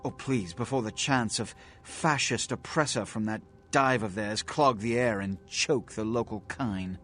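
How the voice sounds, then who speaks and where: A man's voice: fairly low pitch, measured pace, fairly bright tone. An actor playing a haughty male vampire prince in a video game, with a pompous, condescending, elaborately worded delivery. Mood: disdainful. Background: music.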